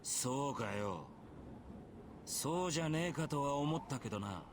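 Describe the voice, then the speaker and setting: An actor playing a rough, hot-tempered, proud spearman in a Japanese visual novel, coarse and confrontational in speech. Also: mid-range voice, expressive, brisk, noisy background, lightly acted. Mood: disgusted.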